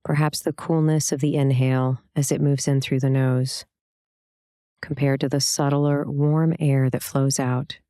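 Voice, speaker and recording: A meditation instructor speaks in a smooth, clear voice with a dark, relaxed tone. The delivery is gentle and soothing, with long pauses between phrases. The sound is dry, with no room around it. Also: mid-range voice, no room sound. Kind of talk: guided meditation, scripted.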